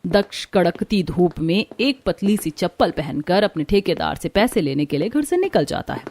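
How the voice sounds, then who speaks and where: A woman's voice: fairly low pitch, lively intonation, normal speed, darkish tone, dry recording. A woman reading scripted storytelling narration for a cartoon.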